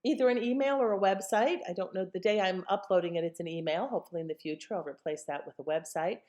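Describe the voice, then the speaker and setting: A woman's voice: mid-range voice, quick pace, a little mellow. A woman casually commenting on an old radio episode she has presented, rambling a little with fillers.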